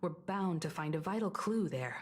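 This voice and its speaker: A woman voicing a serious, composed senior investigator in a fantasy video game, speaking in formal, measured, deliberate lines. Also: fairly low pitch, lively intonation. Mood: composed, businesslike. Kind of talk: scripted video game dialogue.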